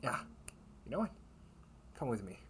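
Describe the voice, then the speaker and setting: A man's voice: mid-range voice, big pitch swings, normal speed, lightly acted. A man playing a kindly, teasing knight captain in a comfort audio roleplay, speaking in courtly, old-fashioned phrases. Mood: gently concerned. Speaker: amateur audio roleplay performer. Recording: clearly noisy home recording with ambience.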